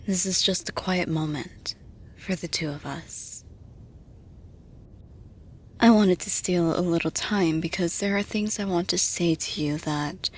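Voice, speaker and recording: A nurturing female boss, played by a woman in an intimate audio roleplay. Her voice is breathy and on the low side, moving very slowly. She soothes in a maternal hush that often slips into a whisper. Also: heavily acted. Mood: soothing, tender. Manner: soothing, hushed, unhurried, often dropping to whispers. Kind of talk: intimate ASMR-style roleplay monologue.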